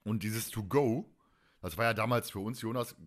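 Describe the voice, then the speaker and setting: A man's voice: fairly low pitch, moderate pace. A man in his forties hosting a podcast in a casual, colloquial and enthusiastic chat style. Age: 40s. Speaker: podcast host.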